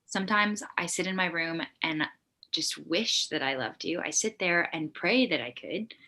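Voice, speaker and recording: Woman playing exasperated sixteen-year-old girl, blunt, bright tone, lowish pitch, comedy table read. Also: moderate pace. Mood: resigned.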